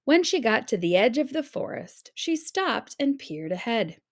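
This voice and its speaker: A woman narrating a children's story aloud in a dramatic, expressive read-aloud style. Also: a little high, unhurried. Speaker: storybook reader.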